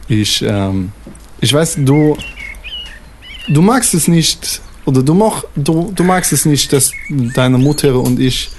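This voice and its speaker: A man voicing a meek, grateful French immigrant father who speaks haltingly in broken grammar with a French accent. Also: fairly high pitch, lively intonation, slight background noise. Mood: earnest, hesitant. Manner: halting, broken non-native grammar, earnest. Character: meek, grateful French immigrant stepfather pleading with his son.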